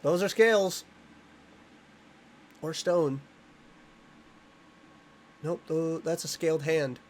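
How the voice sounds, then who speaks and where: A man's voice: a little high, slow. A man hosting a reaction video indoors, giving casual commentary and exclaiming and musing aloud as he watches. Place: room indoors. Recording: music behind the voice. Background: music from the watched video.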